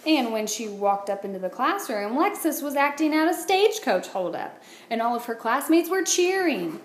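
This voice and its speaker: A woman, a teacher, reading a children's picture book aloud with expressive, deliberate phrasing. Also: high-pitched. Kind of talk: read-aloud storytelling for children. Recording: roomy echo, light background noise.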